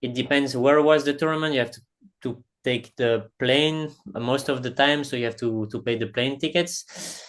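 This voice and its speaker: A young man from Belgium talks through his answers in a relaxed, conversational interview. His phrasing is a little non-native, and he often restarts a word or two before going on. His voice sits at an ordinary middle pitch. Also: normal speed. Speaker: professional padel player, guest.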